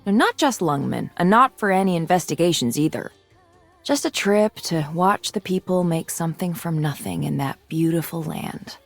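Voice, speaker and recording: A woman voicing a mature, resolute and idealistic swordswoman in a video game, composed and earnest in her measured delivery. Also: fairly low pitch, expressive, vocal fry, soft background music. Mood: gentle, easygoing. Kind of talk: scripted video game character lines.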